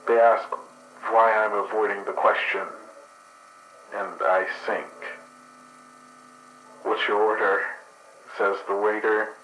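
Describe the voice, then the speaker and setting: A man's voice: on the low side, level tone, slow, pauses often, a bit bright, echoey room, slight background noise, poor audio. A man voicing a crude, irritable man with skunk traits in an actual play podcast, talking in a profane, sardonic way. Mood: hushed.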